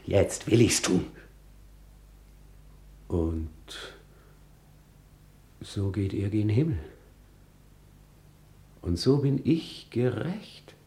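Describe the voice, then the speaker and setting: An actor playing a bitter, resolute, vengeful prince in a radio tragedy, declaiming his monologue deliberately. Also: on the low side, very animated, warm tone, roomy sound, heavily acted. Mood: vengeful, brooding. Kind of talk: classical stage tragedy radio acting.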